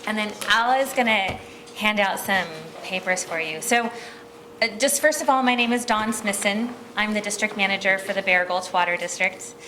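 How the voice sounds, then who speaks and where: An American woman giving an informal public presentation as a district manager, speaking in a halting, conversational way. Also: medium pitch, pushed and bright. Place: meeting room, speaking at a podium. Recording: public meeting recording with background noise.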